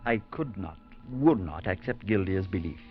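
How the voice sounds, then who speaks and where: A skeptical priest. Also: male voice, low-pitched, animated, soft background music. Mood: solemn narration. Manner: reassuring, doubting, measured narration. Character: skeptical, level-headed male priest and narrator. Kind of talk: 1940s radio acting and narration. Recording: dull lo-fi 1940s radio broadcast.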